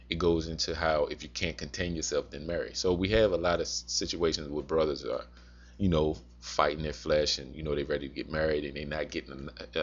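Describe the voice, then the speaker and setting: A man with an extremely deep voice gives informal religious advice. He talks haltingly, circling back to repeat his cautions like a teacher addressing his flock. The recording is dull and muffled. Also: husky. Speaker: religious teacher advising men. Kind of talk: informal sermon-like advice talk.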